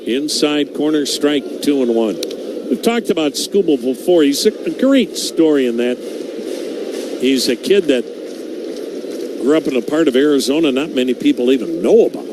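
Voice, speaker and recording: A man calling baseball play-by-play on the radio, conversational and steady, mixing the action with stats and stories. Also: medium pitch, moderate pace, background noise.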